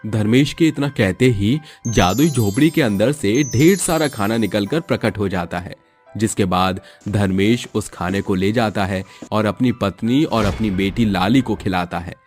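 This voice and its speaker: Low-voiced male storyteller. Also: unhurried, darkish tone, faint music behind. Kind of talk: scripted moral-story narration.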